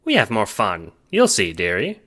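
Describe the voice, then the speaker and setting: A man voicing a stern yet warm old grandmother who teases fondly in an audio drama. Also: on the low side, huge pitch swings, normal speed, husky, heavily acted. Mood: playful, approving. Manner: fussy, affectionate, hooting pet-name talk. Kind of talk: audio drama character acting.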